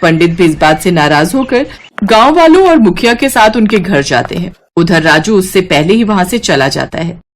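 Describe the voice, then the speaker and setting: A woman narrating a scripted devotional moral story in an expressive storytelling style, with music behind her. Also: on the low side, moderate pace, slight background noise.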